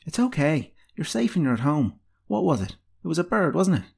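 A man's voice: on the high side, big pitch swings, a little fast, creaky, a little mellow, breathy edge, voice pushed up, full character voice. A man voicing an old female healer, a wry and stern but tender mistress with a dry, teasing, commanding way of talking. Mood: teasing, gentle. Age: older.

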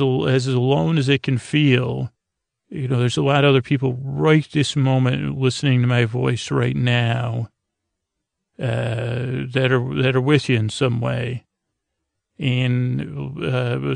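Man, heavy vocal fry, dry sound, bedtime podcast host, meandering tangents, stammered repeats, goofy oddball delivery. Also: mid-range voice, dry recording. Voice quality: creaky, gravelly. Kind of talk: rambling bedtime-story monologue.

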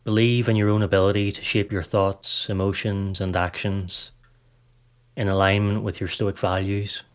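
A man narrating a scripted guided meditation as a podcast host, in a measured, instructional reading manner. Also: lowish voice, flat delivery.

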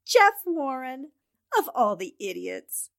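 A woman's voice: high-pitched, gravelly, fairly bright tone, dry recording, studio quality, full character voice. A woman acting a determined, easily exasperated newspaper reporter in a 1940s-style radio drama. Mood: amused exasperation.

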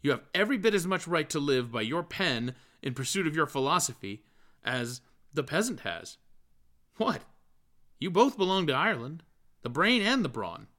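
A man playing an emphatic, high-pitched, pompous gentleman. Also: sing-song, moderate pace. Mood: eager, insistent. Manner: emphatic, verbose, fussy. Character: earnest, well-meaning middle-aged Irishman, pompously lecturing.

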